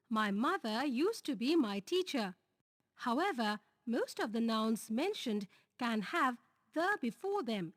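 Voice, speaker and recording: A woman with an Indian English accent reading a grammar lesson, going slowly through lists and example sentences. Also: high-pitched, no echo, studio quality. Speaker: teacher.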